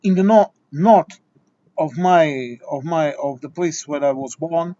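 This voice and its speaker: A man's voice: on the high side, measured pace, dark tone, dry recording, dull audio. Exasperated Portuguese man lecturing. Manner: exasperated, lecturing, many restarts and repeated words. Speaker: video essayist. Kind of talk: impassioned opinion monologue.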